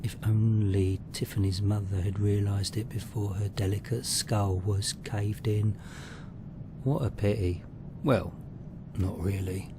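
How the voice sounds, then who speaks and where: A man's voice: on the low side, fairly even intonation, measured pace, background noise. A man playing a sardonic horror host, narrating with theatrical, macabre mock sympathy.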